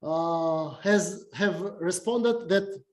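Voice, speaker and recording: A slow, hesitant lecturer with a Russian accent speaks in a fairly high voice, pausing often and restarting his sentences. His talk comes through an echoey video call recording, as if from a big room. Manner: halting, many fillers and restarts.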